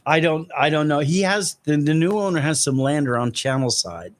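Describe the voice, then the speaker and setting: A man's voice: a little high, animated, normal speed. A man producing a talk radio show, chiming in casually to explain sports news.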